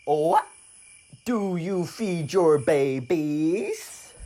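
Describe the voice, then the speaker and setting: Guy doing a curious little storybook frog, earnest, stretches every word way out, pitched up. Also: animated, dark tone. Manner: drawn-out, exaggerated questions. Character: curious little wide-mouthed male frog, earnest and naive.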